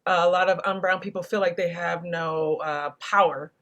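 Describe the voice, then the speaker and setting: A woman hosting a panel discussion, speaking in a reflective, deliberate way and carefully spelling out what she means. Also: on the low side, steady tone, a bit bright, dull audio. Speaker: panel host and moderator.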